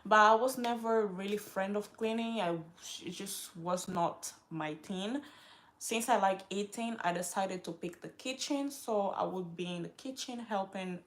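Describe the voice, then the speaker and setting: A young woman from Africa talking casually to her camera as a vlogger, rambling and often interrupting herself as she loses her train of thought. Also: on the low side. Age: young adult.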